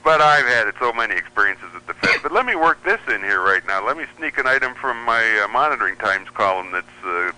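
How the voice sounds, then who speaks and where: A man's voice: fairly low pitch, pushed and bright, a little hiss or hum, poor audio. A man, a radio hobbyist and columnist, chatting casually as a guest and telling rambling stories. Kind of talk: casual anecdotal chat.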